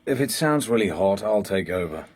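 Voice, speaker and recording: A man's voice: on the low side, animated, moderate pace, breathy edge. A man acting a curmudgeonly, weary British secret agent in a radio drama, dry, sardonic and grumbling. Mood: weary, irritable.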